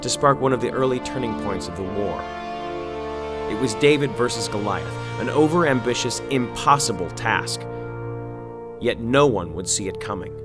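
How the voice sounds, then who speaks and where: A man playing a sombre war chronicler, giving measured, dramatic narration for a video game cutscene. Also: mid-range voice, expressive, natural voice. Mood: grave, dramatic. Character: sombre male war correspondent recounting a grim war. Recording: loud music under narration.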